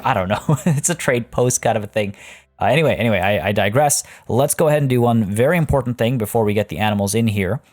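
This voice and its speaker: A man hosting a let's play, rambling through his building steps and chatting with viewers, a little hoarse. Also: medium pitch, darkish tone. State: losing his voice. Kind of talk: casual gameplay commentary.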